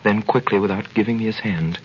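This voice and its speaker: A man's voice: lowish voice, fairly quick, slight background noise, lightly acted. An actor playing an ardent young sailor and telling his story earnestly in 1930s radio drama style. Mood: earnest narrating. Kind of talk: 1930s radio drama acting and first-person narration. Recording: muffled 1930s radio broadcast.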